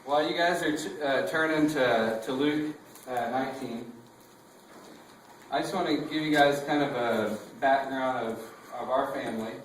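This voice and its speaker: A man's voice: mid-range voice, normal speed, a little hiss or hum. A man with a Texan accent, a visiting missionary preacher who tells stories casually with lots of fillers and self-deprecating jokes. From Texas. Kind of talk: sermon with a sung opening. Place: church sanctuary. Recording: echoey church room from far off.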